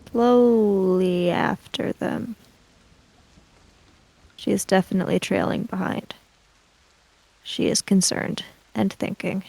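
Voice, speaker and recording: Slow, soft-edged woman with a creaky voice. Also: medium pitch, dry recording, rough recording. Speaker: tabletop role-playing player. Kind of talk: improvised in-game talk and narration.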